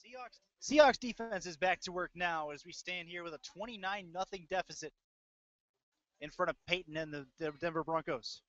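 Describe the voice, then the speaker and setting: A man's voice: sits high, fairly quick. A man doing live play-by-play football commentary in clipped fragments with hesitant asides. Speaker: play-by-play announcer. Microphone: close mic, audio-only stream. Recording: dull lo-fi dry audio.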